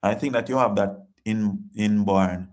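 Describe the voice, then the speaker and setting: A man's voice: lowish voice, unhurried, a little mellow. A Jamaican man hosting a casual live-stream chat, reading viewer comments and talking in an earnest, repetitive, preachy way.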